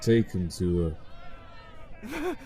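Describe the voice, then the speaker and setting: A man plays a cruel, taunting henchman in an audio drama. His voice is low and dark, drawn out very slowly with big swings in pitch. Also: wildly swooping pitch, a faint crowd, heavily acted. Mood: sneering, cruel. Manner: slow, taunting. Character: cruel, sneering henchman holding captives.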